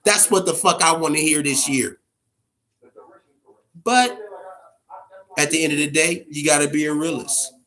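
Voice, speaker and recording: Higher-voiced American man talking boxing, emphatic and profane. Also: measured pace, pauses often, a bit bright, rough recording. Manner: profane, slangy, emphatic, repeats phrases. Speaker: boxing show host. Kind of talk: casual, opinionated boxing commentary chat.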